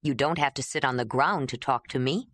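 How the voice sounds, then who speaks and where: A gentle, patient man, as a woman reader puts him on talks in a calm, low, reassuring voice. He sounds steady and kind. The recording is dry and close. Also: a little fast, no room sound.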